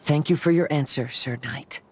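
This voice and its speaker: A woman's voice: sits low, moderate pace, darkish tone, slight background noise, natural voice. A woman voicing a composed, studious and polite young woman in a video game dub.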